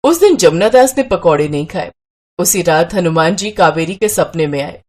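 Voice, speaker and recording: A woman's voice: lowish voice, expressive, normal speed, a little mellow. A woman narrating a scripted story as its storyteller.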